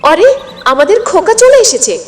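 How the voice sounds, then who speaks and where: A woman playing a tender, anxious village mother of a grown son in a moral story, with plaintive, drawn-out delivery. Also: very high-pitched, very animated. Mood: delighted. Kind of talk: dramatized moral story voice acting. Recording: long hall-like reverb.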